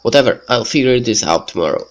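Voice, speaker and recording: A man who is a bit sick hosts a let's play, reading a visual novel script aloud in a halting, stumbling way. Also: mid-range voice, animated. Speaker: let's play video host. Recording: music behind the voice. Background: background game music.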